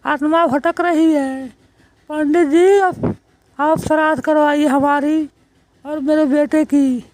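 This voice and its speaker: Woman playing a shrill-pitched, menacing old ghost mother. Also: a little mellow, no room sound. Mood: threatening. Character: old female ghost mother, menacing, demanding last rites. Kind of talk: Hindi cartoon story voice acting.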